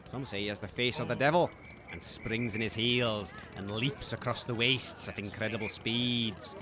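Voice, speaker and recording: A man playing a shifty, grudging wasteland market trader in a comic audio drama, gruff and dismissive. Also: fairly low pitch, fairly even intonation, normal speed, background noise, close to an everyday voice. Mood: knowing, dismissive. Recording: busy outdoor crowd and vehicle sounds. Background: street crowd bustle.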